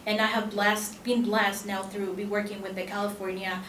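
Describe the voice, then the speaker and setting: A Spanish-native woman from El Salvador speaks in earnest with a clear Salvadoran accent, her words broken by fillers and restarts. She is a conference panelist, heard from a distance in a roomy, echoing hall with a faint hum of room noise behind her. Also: medium pitch, little pitch movement, moderate pace, a bit bright.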